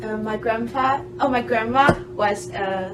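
A woman's voice: on the high side, unhurried. A young adult woman hosting a podcast interview, reacting eagerly and jumping in with questions. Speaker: host. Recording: distant mic with loud music bed.

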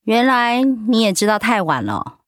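An actress playing a hurt, outspoken wife recovering from cancer in an emotional, plaintive audio drama performance. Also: female voice, a little high, very animated, unhurried, a bit bright, no echo, studio quality. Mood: bitter, reproachful.

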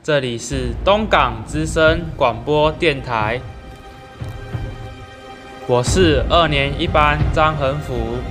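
A man's voice: a little high, slow, long pauses. A teenage male student announcing and narrating a scripted amateur radio drama. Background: music.

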